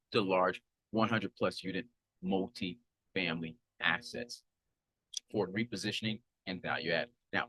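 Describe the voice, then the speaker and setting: A man's voice: fairly low pitch, poor audio. A man in his thirties, from Haiti and raised in Florida, hosting a podcast and introducing himself in an upbeat, earnest way with frequent fillers and restarts. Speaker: podcast host.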